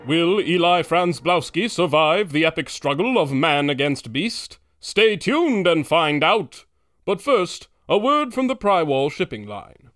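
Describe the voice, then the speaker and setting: Actor as animated, theatrical radio serial announcer. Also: male voice, a little high, a little slow, no echo, studio quality, soft background music. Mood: dramatic. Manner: grandiose, dramatic. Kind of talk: old-time radio announcing.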